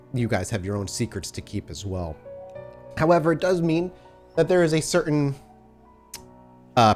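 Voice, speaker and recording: A man narrating a tabletop roleplaying game as game master, in a measured, explanatory storytelling manner. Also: lowish voice, a little mellow.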